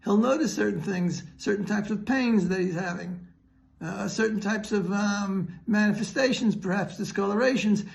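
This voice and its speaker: Male rabbi, high creaky voice, earnest halting teacher delivering an informal Torah lesson. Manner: earnest, didactic, halting mid-sentence. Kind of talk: religious teaching, unscripted explanatory talk.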